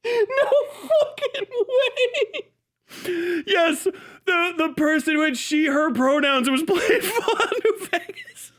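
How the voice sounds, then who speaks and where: A man with a very high, bright voice gives casual live commentary. He is mocking and sarcastic, swears freely and gets giggly and excitable as he reacts. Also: slow. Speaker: livestream host.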